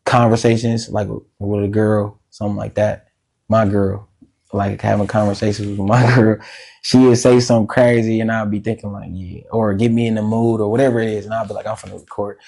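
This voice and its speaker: A man with a raspy voice, a musician guest talking casually and slangily in a podcast interview, laughing often. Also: lowish voice, little pitch movement, brisk, a little mellow.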